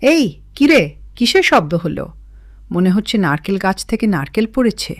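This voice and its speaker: A woman's voice: mid-range voice, sing-song, moderate pace, dry recording, clean studio sound. A woman voicing a suspicious, scolding old village woman in a cartoon story. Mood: alarmed.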